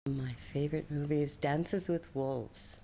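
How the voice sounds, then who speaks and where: A woman's voice: low voice, steady tone, unhurried, dark tone, a little hiss or hum. A woman in her seventies, a community elder from Canada, answering interview questions hesitantly and repeating words as she starts. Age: 70s.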